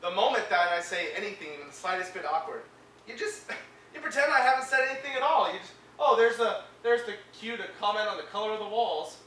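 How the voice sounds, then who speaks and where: An actor playing a bitter, sarcastic hospital patient, a paralysed sculptor, middle-aged. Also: male voice, high voice, lively intonation, quick pace, bright, forceful tone, a little hiss or hum. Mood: bitter, angry. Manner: sarcastic, biting. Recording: roomy film sound from a distance.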